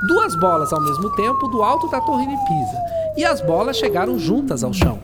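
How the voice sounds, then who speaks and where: A woman's voice: very high voice, huge pitch swings, normal speed, heavy background noise, heavily acted. A woman playing a grumpy, aloof, brilliant male scientist in a radio drama, with a curt, formal manner. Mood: pondering. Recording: long reverb, music behind.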